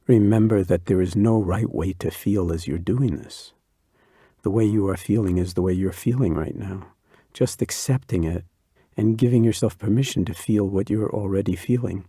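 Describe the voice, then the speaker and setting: Man guiding a body scan, low-ish calm voice, slow and soothing, dry close sound. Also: slightly rough, dry recording. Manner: slow, soft-spoken and soothing. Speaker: meditation guide. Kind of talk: guided body scan meditation.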